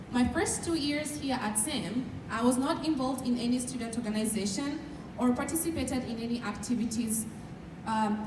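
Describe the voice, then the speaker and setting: Young Kenyan woman, student speaker, measured and earnest; distant mic in a noisy, echoey hall. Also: on the high side. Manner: measured, earnest, sometimes shy. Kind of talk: prepared speech at a dinner. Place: large event hall.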